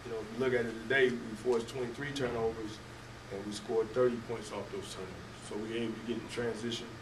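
An older man coaching basketball, answering press questions haltingly with many fillers and restarts. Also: lowish voice, fairly even intonation. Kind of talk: postgame press conference answers. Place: press room at a table before a team backdrop. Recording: echoey room, distant mic, noisy.

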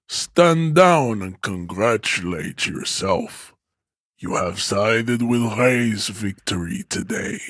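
A hulking, self-important male strongman from a video game. His voice is low and husky, recorded close and dry. He speaks with pompous, deliberate disdain, as if lecturing his inferiors. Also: dry recording, exaggerated voice. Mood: commanding, aloof. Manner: pompous, contemptuous, deliberate enunciation with grandiose vocabulary. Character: huge, arrogant, pseudo-scientific supremacist strongman guarding a bridge. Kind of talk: video game character voice acting.

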